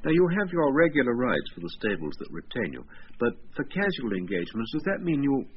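A man interviewing a guest on an archive radio programme, asking short, brisk questions. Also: medium pitch, big pitch swings, slightly rough. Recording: dull old radio recording with faint hiss.

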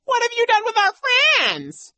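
Woman putting on a pompous, shrill, mocking vice principal man. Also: wildly swooping pitch, a little slow, a bit bright, slightly rough, dry recording, heavily acted. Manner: mocking, bossy, gloating. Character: pompous, childish, mocking male vice principal, gleefully cruel.

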